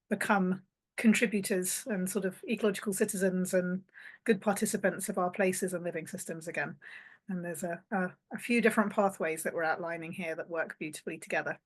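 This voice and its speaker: A woman in her thirties moderating an online panel from a home study, talking encouragingly over a video call. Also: mid-range voice. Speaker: panel host. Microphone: laptop webcam on a video call.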